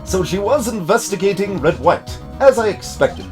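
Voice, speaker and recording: Man acting older male lawyer, video game character, high-pitched, haughty, blustering, light music behind. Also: expressive, normal speed, a little mellow. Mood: haughty, stern.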